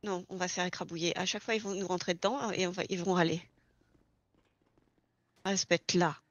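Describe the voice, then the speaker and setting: A woman's voice: lowish voice, steady tone, fairly quick, dry recording, dull audio. A woman explaining things step by step in an informal, casual roleplay chat. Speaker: experienced garage employee training a new recruit in online roleplay.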